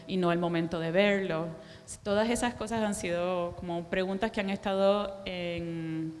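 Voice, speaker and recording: A woman in her forties with a Puerto Rican accent, giving a reflective public talk as a guest artist, with pauses and fillers. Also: lowish voice, slight background noise. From Puerto Rico. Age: 40s. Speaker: guest artist speaking to an audience. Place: cultural center lecture room. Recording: echoey hall.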